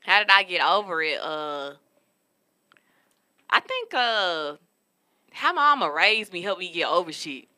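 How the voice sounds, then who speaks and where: Bright-voiced young woman telling stories. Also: fairly low pitch, sing-song, measured pace, long pauses. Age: 20s. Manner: candid, profane, storytelling. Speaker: podcast co-host. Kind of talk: casual chat. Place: studio room with a city backdrop.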